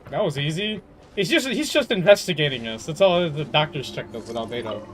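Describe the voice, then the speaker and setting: A male game streamer with a fairly high voice chats casually as he plays. He muses aloud in a loose, rambling way. Faint game music runs behind him. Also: animated, brisk. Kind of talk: casual gameplay commentary.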